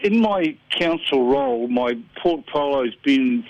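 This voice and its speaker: A man's voice: medium pitch, lively intonation, slow, no echo. An older man with a New Zealand accent, speaking earnestly and plainly as a council candidate in a phone interview. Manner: earnest, plain-spoken, searching for words. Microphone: telephone. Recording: muffled phone line.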